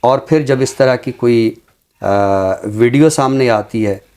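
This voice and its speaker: A man speaking as a commentator on a literary show, in a deliberate, reflective way with emphatic phrasing. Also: medium pitch, darkish tone. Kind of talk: reflective commentary and Urdu poetry recitation.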